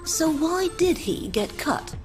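A woman's voice: high-pitched, sing-song, slow, a little mellow, faint music behind, exaggerated voice. A woman playing an upbeat interviewer in a video game.

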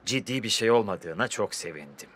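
A man's voice: on the low side, expressive, fairly quick, fairly bright tone, slight background noise. A man voicing a kindly grown-up mentor who explains things to children in a dubbed cartoon. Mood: reassuring.

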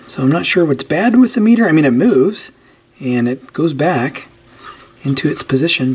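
A man giving a casual hands-on repair walkthrough, informally thinking aloud as he works. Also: fairly high pitch. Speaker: host of a repair channel. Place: indoors at a cluttered workbench. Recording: steady clear background noise with clicks.

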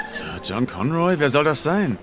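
A man playing a gruff, stubborn police officer in a radio drama, curt and officious. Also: a little high, sing-song, measured pace, a crowd in the background. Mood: officious, suspicious.

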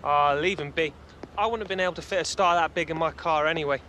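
A man's voice: a little high, fast talker, bright, forceful tone. A man playing a cheeky, sarcastic young footballer friend in a game cutscene. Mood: teasing. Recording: noisy outdoor street ambience.

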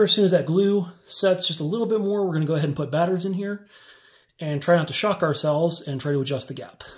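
A man with a slightly high voice talks through a workbench build in a relaxed, step-by-step way. He explains each move casually as he works with his hands, recorded indoors on a phone above the bench. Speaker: DIY tutorial maker.

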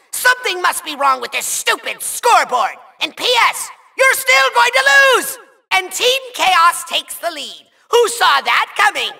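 A man voicing a petty, boastful, tantrum-prone villain overlord in a video game, theatrical, taunting and whiny, with a shrill nasal voice. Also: gravelly, bright, forceful tone, full character voice. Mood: indignant. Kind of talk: over-the-top video game villain acting.

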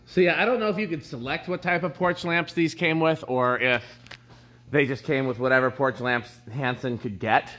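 A man's voice: mid-range voice, normal speed, a bit bright. A man giving a casual, unscripted tour, explaining technical details as he goes. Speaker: tour guide showing his fan collection. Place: inside a wooden barn. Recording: handheld recording with background noise.

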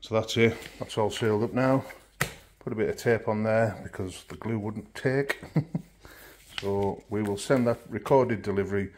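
A man's voice: on the low side, moderate pace, a little mellow, slight background noise. A middle-aged man, chatty and matter-of-fact, walking through paperwork in a casual home vlog, a little throaty from a chest infection. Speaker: van life vlogger. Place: home kitchen indoors.

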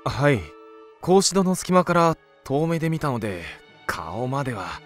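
An actor playing a young student giving testimony as a witness in a radio drama. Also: male voice, fairly high pitch, very animated, measured pace, faint music behind. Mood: earnest.

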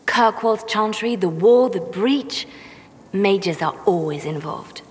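An actress playing a hooded female spymaster in a fantasy video game, speaking in a measured, persuasive way. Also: mid-range voice, animated, in a large hall. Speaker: advisor.

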